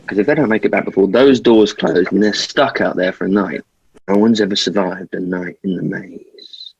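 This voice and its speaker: A man reads a seasoned young leader guiding a newcomer through a dangerous place. His voice is low and husky, explaining things in a table-read drama, and the recording is slightly muffled. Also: steady tone, moderate pace, a little mellow. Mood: grave, ominous.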